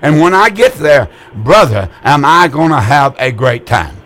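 An older man in his eighties preaches to callers on the air. His voice sits a little high for a man, and he talks in a folksy, repetitive way, stumbling over words and restarting often. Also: very animated, a little slow, fairly bright tone. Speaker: radio preacher taking calls. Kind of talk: call-in religious broadcast.

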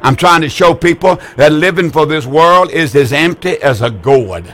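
A man's voice: fairly high pitch, expressive, normal speed, fairly bright tone. An eighty-year-old man hosting a call-in religious broadcast, preaching in a folksy, repetitive way with frequent stammered restarts. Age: older. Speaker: radio preacher taking calls.

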